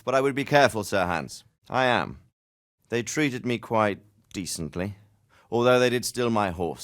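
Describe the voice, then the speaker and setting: Game role: middle-aged noble father figure; dignified, measured wording, lively intonation, dry studio sound. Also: on the high side, no room sound. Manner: formal, reflective, measured. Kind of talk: video game voice acting.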